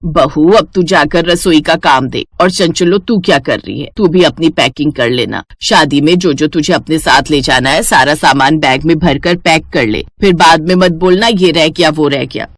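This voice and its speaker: Woman as older cartoon mother-in-law, bossy peacemaker, dropped lower voice, chiding delivery. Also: normal speed, hardly any pauses, no room sound, clean studio sound. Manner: instructing, chiding.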